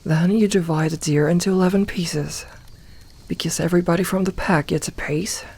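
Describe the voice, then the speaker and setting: Soft-spoken, comforting werewolf woman, voiced by an actress. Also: lowish voice, fairly even intonation, slightly rough. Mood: explaining, playful. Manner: soft-spoken, reassuring, unhurried explaining with light humour. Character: gentle, comforting young female werewolf, playful self-styled lone wolf. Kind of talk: soft ASMR roleplay, speaking intimately to one listener.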